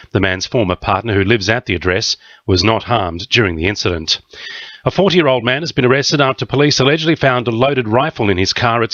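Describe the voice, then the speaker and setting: A man's voice: fairly low pitch, a bit bright, dry recording, lo-fi sound. A man with an Australian accent reading a scripted radio news bulletin. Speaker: news reader. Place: dry radio studio.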